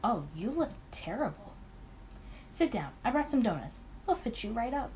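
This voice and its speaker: A woman's voice: fairly high pitch, big pitch swings, moderate pace, a little mellow, a little hiss or hum, poor audio. A woman voicing a playful, chatty male boss in a visual novel, teasing as he talks. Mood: playful grumbling.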